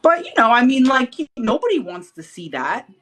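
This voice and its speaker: A woman in a relaxed interview tells her story with real animation. She slips into acted-out quotes and laughs often, as though chatting with a friend. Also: high voice, normal speed. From Puerto Rican heritage. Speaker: podcast guest. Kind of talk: casual interview chat.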